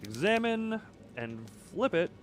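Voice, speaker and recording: A man's voice: high-pitched, big pitch swings, takes it slowly, a little hiss or hum. A man giving casual live game commentary, thinking aloud with playful, quirky turns of phrase. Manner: playful, quirky word choices. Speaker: video game streamer.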